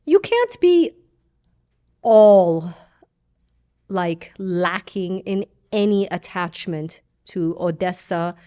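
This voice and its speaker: A middle-aged woman from Iran, a journalist guest chatting casually on a podcast with quick jokes and asides. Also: mid-range voice. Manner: animated, joking, quick asides.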